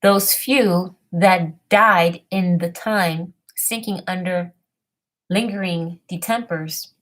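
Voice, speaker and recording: A woman talking casually about history research and then reading an old text aloud haltingly, often stumbling over hard words and names. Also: lowish voice, a bit bright. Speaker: self-made history presenter.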